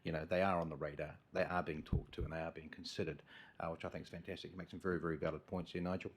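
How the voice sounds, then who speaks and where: A man chairing a council committee meeting, measured and thanking each speaker. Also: deep voice, flat delivery, slightly rough.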